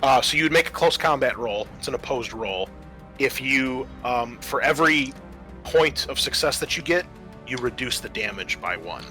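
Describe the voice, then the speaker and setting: A man runs a tabletop roleplaying game as game master. His voice is bright and forceful, and he lays out the rules methodically, step by step. Music plays behind him. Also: mid-range voice, expressive, normal speed. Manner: methodical, explanatory.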